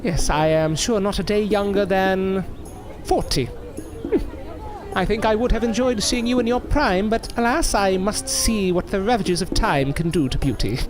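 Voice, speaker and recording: An actor playing a proud, easily wounded older stage magician in an audio drama, with wordy, formal, theatrical diction. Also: male voice, sits high, moderate pace, music in the background, lightly acted. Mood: teasing, haughty.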